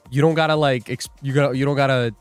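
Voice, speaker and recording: A man's voice: medium pitch, steady tone, a little fast, clean tone, soft background music. A young man hosting a live trading stream, joking and rambling as he reads viewer comments aloud. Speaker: livestream day trader host. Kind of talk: casual livestream chat.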